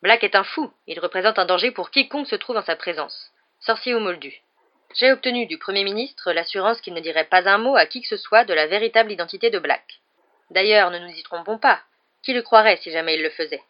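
A woman's voice: medium pitch, a little fast, muffled recording, close to an everyday voice. A woman voicing a stern, irritated male government minister, formal and emphatic, in a storybook newspaper report. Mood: official, irritated.

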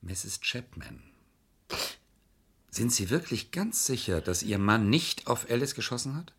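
An actor playing an insistent, calculating lawyer in a vintage crime radio play, speaking formally and pressing his points in a measured way. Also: mid-range voice, sing-song, vocal fry, fairly bright tone, breathy edge, full character voice. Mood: urgent, grave.

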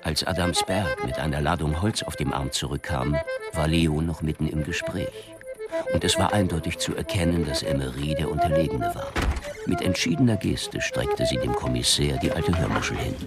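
A man's voice: a bass voice, moderate pace, loud music behind the voice. A man reading scripted narration as the storyteller of a radio drama.

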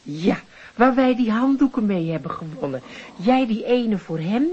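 An actress playing a chatty, excitable and naive office cleaning lady in a radio play. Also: a little high, normal speed, husky. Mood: eager. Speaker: actor. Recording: muffled radio play recording.

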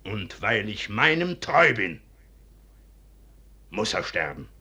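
An actor playing a proud, unyielding low-born officer in a 1950s German radio drama, stern and declamatory. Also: male voice, big pitch swings, takes it slowly, sharp tone, husky, full character voice. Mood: bitter, resolute. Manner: declamatory, stern, clipped stage diction.

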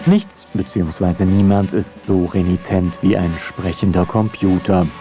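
A man narrating a scripted radio feature in a wry, measured manner. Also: sits low, over music.

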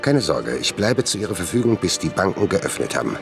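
An actor playing an arrogant, hot-tempered, callous gentleman in a radio play, haughty and sneering. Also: low-pitched, big pitch swings, a little fast, vocal fry, full character voice. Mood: cold, sneering. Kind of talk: radio drama acting. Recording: music behind the voice.

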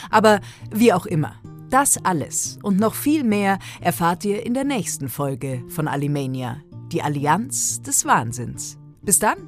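A woman's voice: lowish voice, sing-song, unhurried, soft background music. A woman narrating a parody audio drama in a playful, teasing way.

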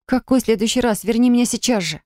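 A desperate young woman pleads and protests in a high, tearful voice. A woman reads her in a raised voice. The sound is dry and close. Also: fast, darkish tone, dry recording, close to an everyday voice. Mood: angry. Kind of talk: audiobook character voice.

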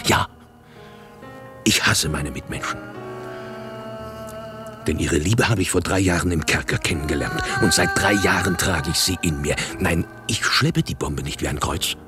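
An actor playing a bitter, hardened revolutionary and former prisoner in a German radio drama, grim and emphatic in manner. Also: male voice, low voice, natural voice.

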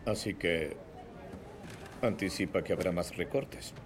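A man's voice: low-pitched, a little slow, background noise. A man dubbing a friendly, easygoing investigative team editor in a Spanish film dub.

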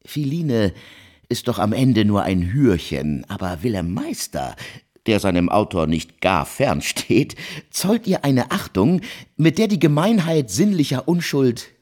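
Casting: ironic artist-intellectual man in radio drama; mid pitch, widely swinging melody, rhetorical flourish. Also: sing-song, measured pace, a little mellow. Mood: argumentative. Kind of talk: German radio play dialogue.

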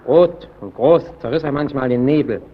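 An actor in a German radio play playing a solitary lighthouse keeper haunted by the sea, speaking haltingly and intensely, swelling and sinking to a near murmur. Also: male voice, mid-range voice, phone-quality sound, background noise, lightly acted. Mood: hushed, haunted.

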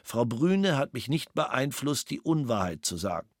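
A man reading out a witness statement in a measured, matter-of-fact way. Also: mid-range voice, sing-song, dry recording. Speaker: reader of a statement. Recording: dry studio recording.

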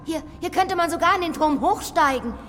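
An actress playing an outspoken, sensitive noblewoman in a radio play, expressive and questioning. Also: very high-pitched, brisk, a little hiss or hum. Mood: excited. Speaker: actor. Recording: long echoing reverb, faint music.

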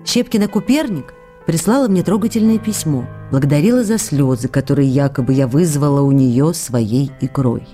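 A woman acts out a loving, emotional stage actress voicing her letters in a radio drama. Her voice sits a little low and is soft-toned and warm. She is intimate and expressive, over quiet music. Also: moderate pace, lightly acted. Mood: animated, proud. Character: affectionate, emotional young stage actress writing to her lover.

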